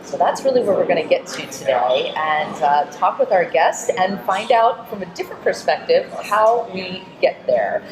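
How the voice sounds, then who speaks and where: A woman's voice: on the low side, lively intonation, normal speed, hardly any pauses. A middle-aged woman hosting a conversational interview. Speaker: show host and interviewer. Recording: roomy echo, busy crowd chatter. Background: crowd murmur.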